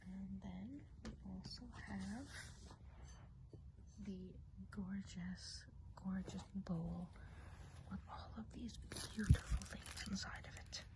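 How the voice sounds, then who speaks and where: A woman as a hushed, gentle Christmas witch. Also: medium pitch, heavy background noise. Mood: hushed, admiring. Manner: hushed, unhurried, chatty, often trailing off. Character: kindly Christmas witch of the woods, gentle and chatty. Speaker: ASMR role-play performer. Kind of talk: soft-spoken and whispered ASMR role play.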